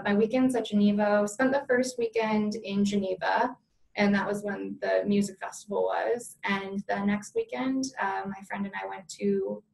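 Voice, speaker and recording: Young woman, gravelly voice, echoey room. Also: mid-range voice, far from the mic. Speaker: law student guest. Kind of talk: casual interview.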